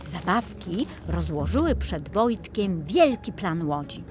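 Low-voiced woman narrating a children's story. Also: very animated, slow pace, music in the background.

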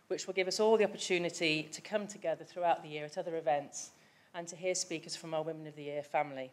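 Measured, low-voiced middle-aged woman giving a formal speech. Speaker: event chair. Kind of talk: prepared speech. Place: large banquet hall at a lectern. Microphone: lectern microphone.

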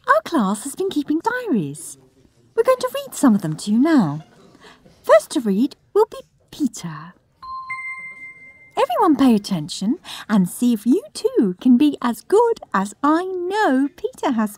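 A woman's voice: high voice, wildly swooping pitch, a little slow, a little mellow, dry recording, pitched above the performer's own voice, exaggerated voice. A woman voicing a female primary school teacher who addresses children in a sing-song way in an audiobook. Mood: gushing.

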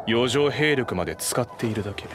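An actor playing a haughty, sardonic young officer in a video game, politely condescending. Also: male voice, mid-range voice, animated, brisk, close to an everyday voice. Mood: disdainful. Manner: smug, polite but condescending. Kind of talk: dramatic Japanese video game cutscene acting. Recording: studio voice over loud game music.

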